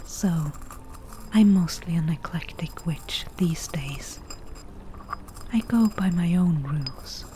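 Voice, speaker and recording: A forest witch from an ASMR roleplay, acted by a woman, whispers in a soft, mellow, slightly low voice. She is chatty and a little wicked, musing at a very unhurried pace in a conversational way. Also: a little hiss or hum. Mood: dryly mischievous. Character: eclectic forest witch, chatty, unruly, mildly wicked potion brewer.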